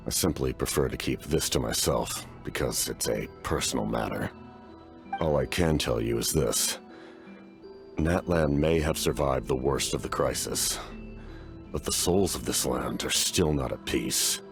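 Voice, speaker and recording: An actor playing a stoic, war-weary knight-commander in a fantasy game, speaking formally and gravely. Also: male voice, a bass voice, unhurried, over music. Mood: guarded.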